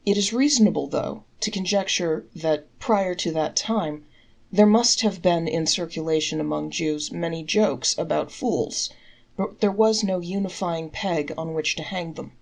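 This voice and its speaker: A woman reading folktales aloud in a measured, articulate storybook narration. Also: on the low side, creaky, darkish tone.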